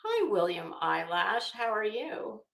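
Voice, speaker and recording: A woman hosts a casual live chat from a room with plenty of echo. Her talk is gossipy and informal, full of coy asides and chuckles as she addresses her viewers. Her pitch sits in an average range for a woman. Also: big pitch swings, slow, vocal fry, fairly bright tone. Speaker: livestream host. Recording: roomy, echoey room sound.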